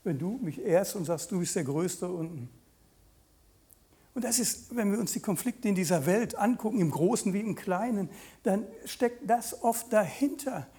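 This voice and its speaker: A man in his late fifties preaching a conversational sermon, pausing mid-sentence and putting questions directly to his listeners. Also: high voice, animated, mellow.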